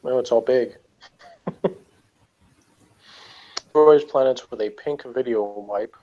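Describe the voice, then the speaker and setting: A man's voice: mid-range voice, slow pace, dull audio. A man chatting casually and unscripted as a reviewer, with a wry, joking manner. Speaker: TV episode reviewer.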